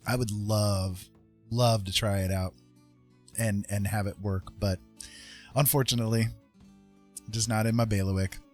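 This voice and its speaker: A man's voice: fairly low pitch, fairly even intonation, unhurried, long pauses. A man hosting a livestream, chatting casually and telling personal stories in a rambling, informal way. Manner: rambling, informal, frequent "like" and "you know". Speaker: livestream host. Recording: soft music behind the voice.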